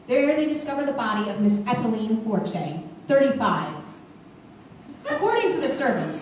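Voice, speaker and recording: A woman's voice: fairly high pitch, darkish tone, recorded from a distance, a little hiss or hum, lightly acted. A woman playing the voice of an 1890s newspaper, reading a crime report in a formal, solemn manner on stage. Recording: echoey live theatre recording from afar.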